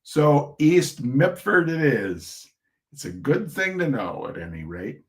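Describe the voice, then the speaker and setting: A man's voice: on the high side, very animated, takes it slowly, a little hiss or hum. A man giving an informal reading of humorous essays, with a droll, chatty manner and asides to his listeners. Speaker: reader of short humorous pieces.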